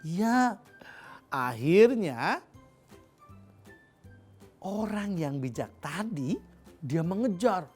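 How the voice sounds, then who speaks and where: Expressive, low-voiced Indonesian woman storyteller. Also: drawn-out words, pauses often. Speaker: children's storyteller. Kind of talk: expressive fairy-tale narration for children. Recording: music behind the voice. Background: music.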